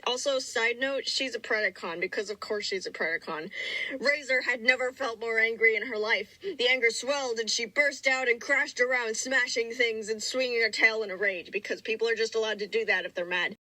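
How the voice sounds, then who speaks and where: Bright-voiced, sarcastic woman reading dramatically. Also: fairly high pitch, brisk, no echo, low-quality recording. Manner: sarcastic, mock-grandiose. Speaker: reader of own childhood fanfiction. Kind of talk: mock-dramatic reading with casual commentary.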